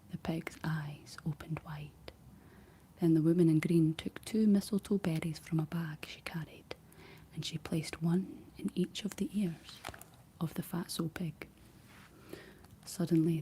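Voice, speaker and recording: A woman whispering a folk tale aloud in a soft ASMR style, stopping for playful asides. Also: low-pitched, fairly even intonation, measured pace, slight background noise, low-quality recording. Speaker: storyteller. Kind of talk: whispered ASMR story reading.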